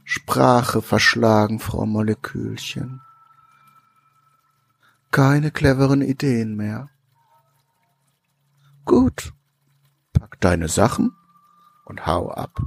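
An actor playing a domineering, sneering head chef in an audio drama, scornful and commanding. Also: male voice, mid-range voice, extremely slow, vocal fry, pauses often, heavily acted. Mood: icy contempt. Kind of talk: German audio drama acting.

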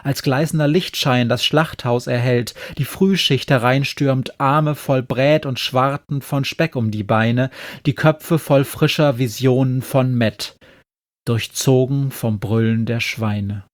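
A man recites poetry dramatically at a slow, deliberate pace. His medium-pitched voice is solemn and emphatic, recorded dry and close. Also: steady tone, no echo. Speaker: poet reading own poem.

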